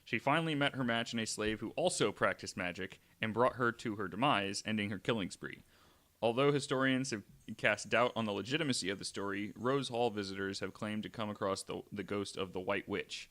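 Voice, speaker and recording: A man's voice: on the low side, brisk, fairly bright tone. A man co-hosting a podcast, talking informally and wryly between casual chat and reading out stories. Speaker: podcast co-host.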